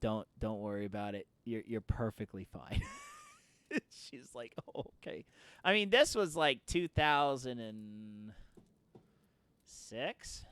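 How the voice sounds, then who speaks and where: Laughing man with a higher voice. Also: sing-song, takes it slowly, fairly bright tone, clean tone, no echo. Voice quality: higher voice than most men. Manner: laughing storyteller who acts out quoted voices. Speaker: streamer. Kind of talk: casual chat while livestreaming a game.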